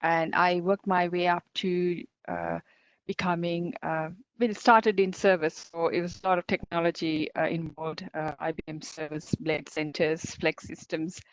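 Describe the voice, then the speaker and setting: A chatty woman in her forties with a Sri Lankan accent gives a conference talk over a video call. Her voice sits fairly low for a woman and sounds close and dry. The call audio is dull and lo-fi. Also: flat delivery, moderate pace, dry recording. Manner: chatty and upbeat, many fillers and small laughs. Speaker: guest speaker, cybersecurity company director.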